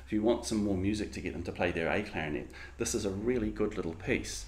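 A middle-aged man with a New Zealand accent gives an informal lecture in a conversational, halting way, often correcting himself as he goes. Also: lowish voice. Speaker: clarinetist giving a lecture. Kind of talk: informal lecture with personal anecdotes. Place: small room at home, indoors. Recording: roomy, echoing home room sound.

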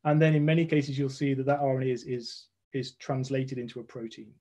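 A man's voice: mid-range voice, steady tone, a little fast, dark tone. A man in his forties, a guest scientist on a video call from his home, explaining step by step with frequent restarts. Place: home room with red walls.

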